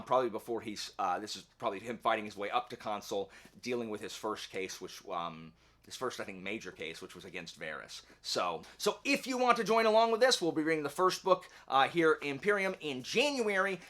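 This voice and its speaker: A man's voice: medium pitch, sharp tone. A man hosting a book channel, talking in an enthusiastic, conversational and emphatic way, as in a casual announcement vlog. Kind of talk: casual vlog announcing readalongs, reading book blurbs aloud.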